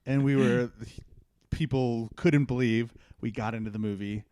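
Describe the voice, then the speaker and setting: A man's voice: medium pitch, steady tone, normal speed, warm tone. A man in his thirties chatting casually on a podcast, slangy and exclamatory. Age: 30s. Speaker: podcast host. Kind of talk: casual chat.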